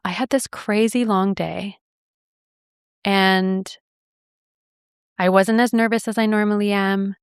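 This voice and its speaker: A woman's voice: mid-range voice, smooth, dry recording, studio quality. A young adult woman hosting a podcast, telling a personal story in an earnest, reflective way with light laughs. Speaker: podcast host.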